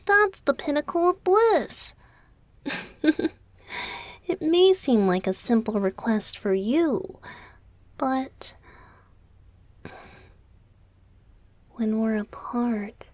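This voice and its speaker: Woman playing a tender young male idol boyfriend, breathy and whispery, very slow pace, coy, affectionate, close-up ASMR romance roleplay. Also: fairly high pitch, no room sound. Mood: playful, flustered, then tender, sleepy. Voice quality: soft, breathy, often whispered.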